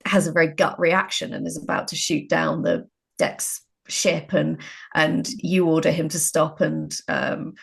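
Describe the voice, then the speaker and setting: Gravelly, husky woman talking haltingly. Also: deep voice. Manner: halting, discursive, frequent filler phrases. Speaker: writer and co-interviewer. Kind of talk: casual interview conversation.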